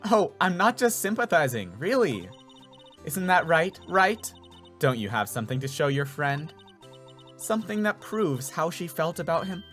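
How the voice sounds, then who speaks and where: A man voicing a kind, wise woman lawyer who mentors in a measured, earnest way in a video game. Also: fairly high pitch, very animated, fairly bright tone, faint music behind. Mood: gently prompting.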